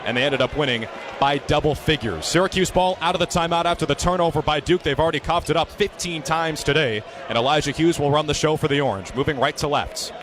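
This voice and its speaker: A man in his twenties doing live sports commentary and reporting in a projected, energetic, detailed announcer style. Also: on the high side, fast, a crowd in the background. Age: 20s.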